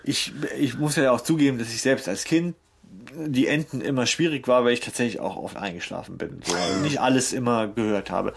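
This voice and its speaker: Husky-voiced man chatting casually. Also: mid-range voice, brisk. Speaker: podcast co-host. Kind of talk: casual podcast discussion.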